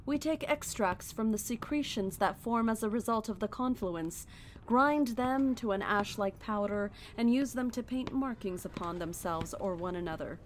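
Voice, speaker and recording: An actress playing a serene, fanatical cult leader in a horror audio drama, speaking in a measured, solemn, preaching way. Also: female voice, medium pitch, a little hiss or hum, natural voice. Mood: calm zeal.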